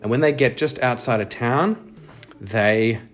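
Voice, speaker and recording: A man's voice: mid-range voice, measured pace. A man hosting a solo role-playing audio drama, moving between scripted story narration and casual play-by-play of the game. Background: music.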